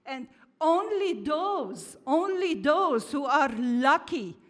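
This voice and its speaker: A woman's voice: sits high, big pitch swings, takes it slowly. A woman from Lebanon giving an earnest, heartfelt address at a community lodge meeting in a church hall. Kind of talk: formal address. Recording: hall reverb.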